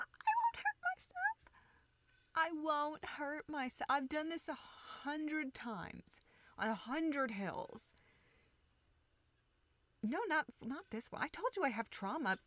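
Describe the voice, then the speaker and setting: A woman playing a playful, teasing young woman in an intimate roleplay audio, talking to the listener as a close, affectionate friend in halting, natural phrases. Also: sits high, noisy background, heavily acted.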